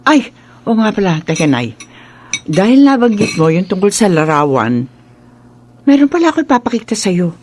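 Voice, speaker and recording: A woman's voice: medium pitch, very animated, moderate pace, pauses often, a little mellow, slight background noise, natural voice. A woman playing a protective middle-aged mother in a radio drama, frank and conversational, respectful yet teasing. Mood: curious.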